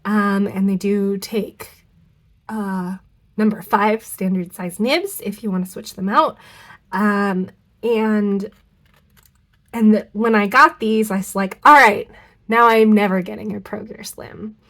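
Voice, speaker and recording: A woman's voice: medium pitch, slow, a bit bright. A young woman with a stuffy, nasal voice from a cold, chatting casually to camera in her home studio, rambling and correcting herself as she goes. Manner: chatty, rambling, self-correcting with many ums and likes. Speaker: fountain pen vlogger. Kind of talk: casual unboxing chat to camera.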